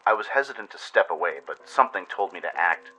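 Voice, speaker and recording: A man's voice: deep voice, expressive, quick pace, pushed and bright, faint music behind, poor audio. A man narrating a scripted dramatic first-person story in radio-play style, with an intense, theatrical delivery.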